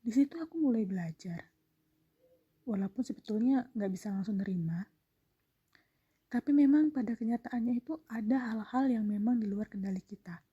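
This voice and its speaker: A young woman, confiding gently in a breathy, half-whispered, intimate reflective monologue as a solo podcast host. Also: a little high, moderate pace, pauses often, dull audio.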